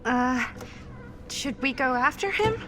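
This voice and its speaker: A woman's voice: high-pitched, lively intonation, slow pace, fairly bright tone, slight background noise, exaggerated voice. An actress playing a blunt, questioning, feisty young woman in a cinematic horror game. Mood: uncertain. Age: young adult.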